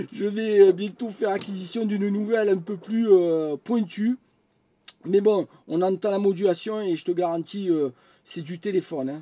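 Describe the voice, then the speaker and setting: A man, a friendly and chatty amateur radio operator having a casual contact. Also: high voice. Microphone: studio microphone at the radio. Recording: clear steady background noise.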